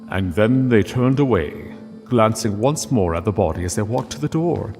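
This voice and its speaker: A man reading a literary story as narrator, solemn and deliberate, with dramatic pauses. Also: fairly low pitch, expressive, a little mellow, big-room sound, soft background music.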